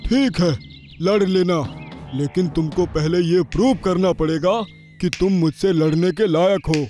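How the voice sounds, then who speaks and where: A clever fat cartoon elephant, played by a man, boastful and sure of himself. The voice is very high for a male, with faint music under it. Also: measured pace, dark tone. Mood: taunting. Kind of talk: Hindi cartoon story dialogue.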